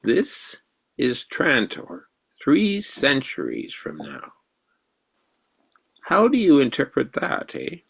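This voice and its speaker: An actor playing an elderly, intense mathematician who quizzes his pupil in a deliberate professorial way in a radio drama. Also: medium pitch, huge pitch swings, creaky, fairly bright tone, husky, full character voice. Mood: patient, probing. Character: elderly, intense mathematician mentor, excited and probing.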